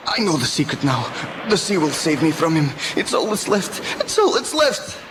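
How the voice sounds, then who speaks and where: An actor playing a crazed, terrified ship's mate in a radio drama, raving in a frantic voice. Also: male voice, on the high side, huge pitch swings, moderate pace. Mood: manic, frantic. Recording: noisy amateur local radio recording.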